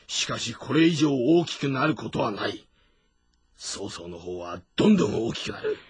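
An actor playing a gruff, impatient but loyal younger warrior in a historical game drama, blunt and rough in speech. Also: male voice, medium pitch, very animated, moderate pace, dry recording, studio quality. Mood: restless.

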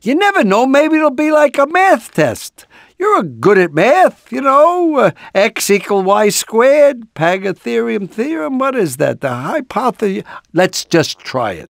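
A man's voice: very high voice, big pitch swings, measured pace, gravelly, dry recording, clean studio sound, full character voice. A man voicing an anxious, encouraging, portly middle-aged rooster father in a cartoon video game, with a hesitant, rambling, fatherly manner. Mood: reassuring. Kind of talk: animated video game character lines.

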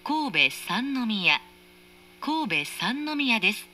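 Lilting, slow woman train announcer. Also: fairly high pitch, sing-song, bright, forceful tone. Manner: polite, formal. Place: train carriage speaker, train ambience behind. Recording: faint train noise, recorded inside carriage.

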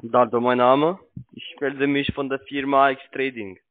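Over a phone line, a man who is not a native speaker makes a pushy, halting sales call in broken grammar. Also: mid-range voice, flat delivery, noisy background. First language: not German. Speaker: cold-calling telemarketer. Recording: narrow tinny phone line.

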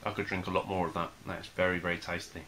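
A young man casually reviewing a beer at home, talking in a relaxed, hesitant way with many fillers. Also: sits low, fast talker, a little hiss or hum. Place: small room in front of a plain wall.

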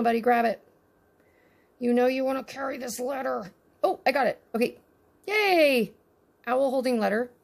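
A woman's voice: mid-range voice, gravelly, darkish tone. A woman casually chatting to her viewers as she unboxes tiny miniatures at her table, talking to the items as she handles them. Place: indoors at a tabletop.

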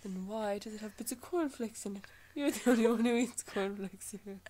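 A woman voicing a bossy, blunt witch-school headmistress in an improvised parody dub, crude and scolding in a halting way. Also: mid-range voice, mellow, close to an everyday voice. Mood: accusing.

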